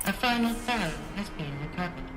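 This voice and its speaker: A woman voicing a calm, impersonal computer system in a video game, making formal announcements. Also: mid-range voice, brisk, music in the background, lightly acted. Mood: impassive.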